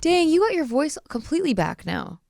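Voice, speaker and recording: A woman hosting a podcast in casual chat, her voice a bit raspy from bronchitis, chatty and laughing often. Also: sits high, sing-song, brisk, over music. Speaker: podcast host.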